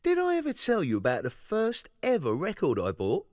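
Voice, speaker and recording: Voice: medium pitch, wildly swooping pitch, moderate pace, darkish tone, dry recording, studio quality. An actor playing an eager, rambling, nostalgic dad in a children's cartoon.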